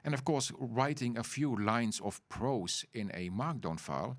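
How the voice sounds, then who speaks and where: A middle-aged man, co-hosting an informal podcast and talking in halting bursts full of restarts and tag questions. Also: medium pitch. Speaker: podcast co-host. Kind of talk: informal unscripted conversation.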